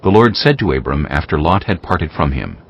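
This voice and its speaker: A man narrating scripture dramatically from a script, in a solemn, deliberate storytelling manner. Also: a bass voice, very animated, gravelly, breathy edge, lo-fi sound. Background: music.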